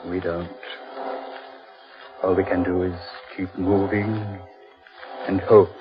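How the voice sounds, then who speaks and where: A man's voice: deep voice, little pitch movement, slow pace. An actor playing a rattled, stubbornly rational man trapped in cursed woods, emphatic and theatrical in old-time radio horror style. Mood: impatient, reassuring. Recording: muffled old radio recording with loud music.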